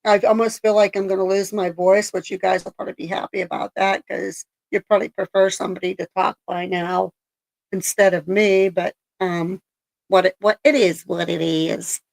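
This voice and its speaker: A woman hosting a casual live stream, raspy-voiced after a coughing spell, rambling with lots of ums and likes. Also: mid-range voice, flat delivery, normal speed, darkish tone, rough recording. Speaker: streamer host.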